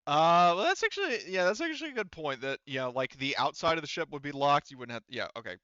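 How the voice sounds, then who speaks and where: A man's voice: fairly high pitch, sing-song, fast talker, sharp tone, clear, resonant tone, no room sound, highs cut off. A man running a tabletop roleplaying game as game master, explaining casually in a rambling, self-correcting way.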